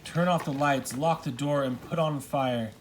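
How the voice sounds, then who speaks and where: A man's voice: mid-range voice, measured pace, natural voice. A man voicing a bossy, unkind older witch sister who gives curt orders, in a storybook. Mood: commanding. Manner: curt, commanding. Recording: noisy home recording.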